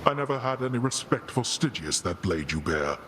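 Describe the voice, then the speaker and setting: A man playing a stern, haughty god in a video game, with formal, lofty and deliberate speech. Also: mid-range voice, big pitch swings, background noise, natural voice. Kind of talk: video game character dialogue.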